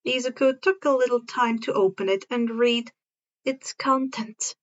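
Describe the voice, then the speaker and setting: A woman reading fan fiction aloud as an expressive story narrator. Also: a little high, a little slow, highs cut off.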